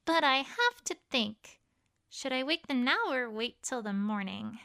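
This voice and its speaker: A woman playing a bashful, bookish young pony student in an audio drama, speaking earnestly and haltingly. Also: high-pitched, sing-song, gravelly, sharp tone, exaggerated voice. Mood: sleepy, thoughtful. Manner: halting, earnest speech with stammers. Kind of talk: audio drama fan fiction reading.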